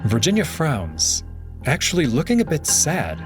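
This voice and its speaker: A man narrating a visual novel aloud in an expressive way. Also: a little high, a little slow. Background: background music.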